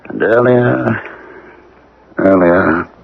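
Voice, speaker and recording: A haunted, earnest male professor speaks from very low in his chest. The voice is gravelly and husky, halting yet intense, in the manner of 1970s radio drama on a narrow, muffled broadcast. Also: heavily acted. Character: troubled archaeology professor haunted by an otherworldly memory, earnest, distracted.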